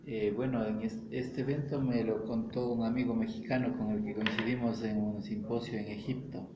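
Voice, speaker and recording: A man from Ecuador answers questions politely and calmly, his words brief. The sound is echoey and a little dull, with steady noise behind him. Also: on the low side, moderate pace. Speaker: sculptor, interview guest. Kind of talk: interview answers.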